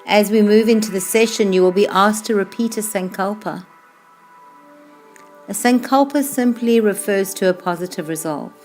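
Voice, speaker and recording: A woman yoga instructor leading a guided meditation in a slow, calm, soothing way, with unhurried phrases that trail off softly. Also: medium pitch. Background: music playing behind the voice.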